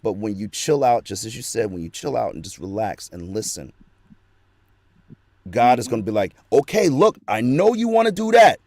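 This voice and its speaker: A man on a video call as a guest, talking in a lively way and acting out quoted voices. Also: mid-range voice, moderate pace, no echo. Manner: lively, acts out quoted voices, laughs often. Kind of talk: casual video-call chat.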